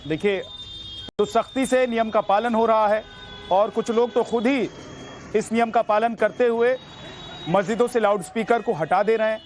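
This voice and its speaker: High-pitched male TV news voice over music. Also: steady tone, moderate pace. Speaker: news anchor narrating field reports. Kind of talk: dramatic Hindi TV news voice-over. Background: music bed.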